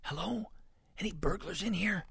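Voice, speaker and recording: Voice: mid-range voice, big pitch swings, moderate pace, slightly rough, dry recording, voice pushed up. A storybook reader voicing a plucky, indignant little rodent girl detective with a snappy, spirited delivery. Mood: bold, probing.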